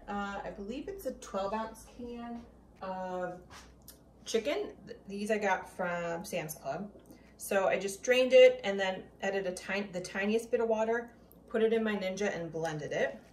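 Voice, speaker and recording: A young woman talks her viewers through a recipe in an easygoing, chatty way, tasting as she goes. Her voice sits a bit back from the camera in an echoey home kitchen, with clear background noise. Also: mid-range voice, distant mic. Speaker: cooking vlogger. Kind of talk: casual cooking demo.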